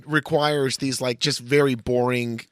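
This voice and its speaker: A man's voice: medium pitch, steady tone, unhurried, fairly bright tone, smooth, no room sound, clean studio sound. A man co-hosting a podcast, bantering casually and reading aloud with a sardonic edge. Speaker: podcast co-host.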